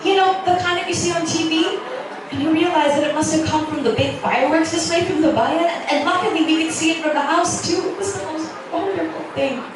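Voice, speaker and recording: A woman playing an earnest, wistful young medical student in a halting, sincere dramatic reading. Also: very high voice. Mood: wistful wonder. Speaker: reader at a literary festival. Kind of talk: dramatic reading of a romance novel's dialogue. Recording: echoey, noisy room from far off.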